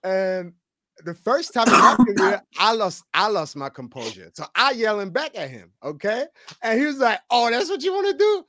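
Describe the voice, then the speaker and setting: A man hosting casual sports talk, telling stories in an animated, slangy way and repeating words for emphasis. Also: sits high, normal speed, no room sound. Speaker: podcast host and former college football player.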